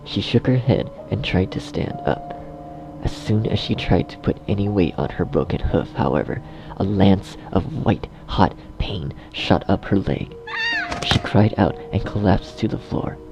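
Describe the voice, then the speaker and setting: A man reading scripted dark fiction as a narrator in a measured storytelling manner. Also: deep voice, lively intonation, gravelly, over music, rough recording.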